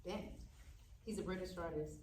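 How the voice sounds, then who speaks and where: A woman's voice: lowish voice, moderate pace, darkish tone, close to an everyday voice. A woman acting a caring, outspoken aunt on a road trip at a live table read, in a conversational manner. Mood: teasing, irritated. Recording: echoey, distant room mic with faint noise.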